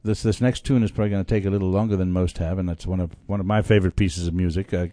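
A man's voice: deep voice, fairly even intonation, racing, mellow, no room sound. A man co-hosting a radio show with casual, conversational banter. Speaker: radio co-host.

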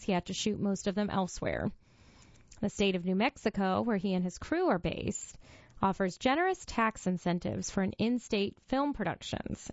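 A woman's voice: mid-range voice, a little mellow, no room sound. Woman reading a magazine aloud. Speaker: reader for a reading service. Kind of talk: read-aloud magazine narration. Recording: dull, lo-fi dry studio voice.

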